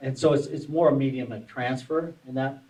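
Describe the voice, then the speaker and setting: A man's voice: mid-range voice, fairly quick, darkish tone. A man on a panel, explaining at length and often restarting his phrases. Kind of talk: panel discussion. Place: conference room at a forum. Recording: distant room mic.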